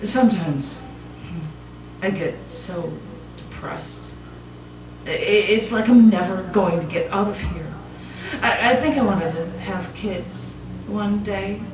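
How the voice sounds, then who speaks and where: A woman performing a live stage monologue as a restless, bitter imprisoned woman, rambling and defensive in a halting, conversational way. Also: medium pitch, background noise, natural voice. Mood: dejected, bitter. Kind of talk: dramatic monologue read on stage. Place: small cabaret venue, live show. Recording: distant echoey live venue, loud music behind. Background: loud music.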